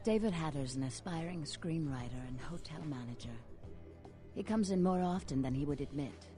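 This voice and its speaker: A woman's voice: low voice, lively intonation, moderate pace, dark tone, faint music behind. An actress playing a seductive, dramatic female vampire in a video game, teasing and sorrowful, slipping into whispered phrases. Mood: mock pity, teasing.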